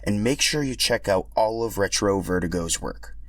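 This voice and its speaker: Male podcast host, scripted storytelling read, lowish voice, very dry recording. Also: normal speed, no room sound. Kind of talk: scripted narration.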